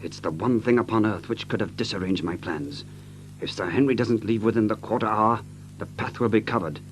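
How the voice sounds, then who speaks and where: An actor playing a coolly analytical gentleman detective in an audio mystery drama, precise, theatrical and authoritative. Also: fairly low pitch, fairly quick, slight background noise, natural voice. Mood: tense, hushed.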